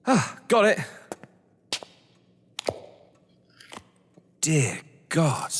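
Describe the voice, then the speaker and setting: An actor playing an exasperated, wary young British man, indignant and excitable, in stage-style audio drama. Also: fairly high pitch, takes it slowly, full character voice. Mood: flustered. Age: young adult. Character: exasperated, wary young male lover drawn into a scheme. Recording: echoey hall reverb.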